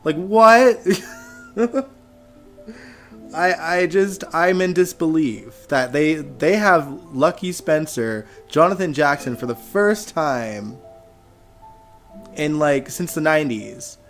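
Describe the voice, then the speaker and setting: A man hosting a relaxed live stream, talking in a chatty, animated way and laughing easily. His voice sounds a little hoarse, and faint music plays quietly behind him. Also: fairly high pitch, slow pace, long pauses. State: slightly hoarse. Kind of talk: casual live stream chat. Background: music playing faintly.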